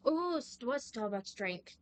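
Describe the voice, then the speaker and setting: A young woman chatting casually in a rambling, excitable, slangy way as she streams a game. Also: on the high side, unhurried, clean tone. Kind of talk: casual live-stream chat while playing. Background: game music.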